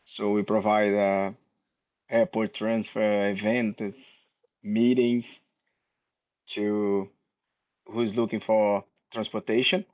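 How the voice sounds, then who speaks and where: A man's voice: fairly low pitch, flat delivery, slow, pauses often. A man from Brazil, a small business owner introducing himself on a video call, hesitant and full of fillers. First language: Portuguese. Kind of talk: casual video call chat. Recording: dull lo-fi video call audio.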